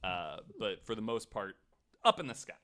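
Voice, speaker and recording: A man co-hosting a podcast, telling a story casually in a skeptical, wry, somewhat halting way. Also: fairly low pitch, lively intonation, fairly bright tone. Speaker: podcast co-host.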